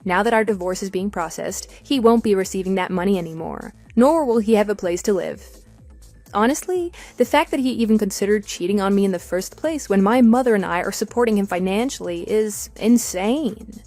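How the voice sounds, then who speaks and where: A woman's voice: medium pitch, expressive, brisk, a little mellow, faint music behind, natural voice. A woman playing a composed, matter-of-fact wife with a dismissive edge in a scripted audio drama. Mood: wry, mocking. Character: composed, wry wife divorcing her cheating husband.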